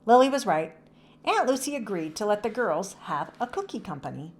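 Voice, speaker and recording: A woman's voice: mid-range voice, sing-song, a little fast. A woman narrating a children's book aloud in a scripted read-aloud style.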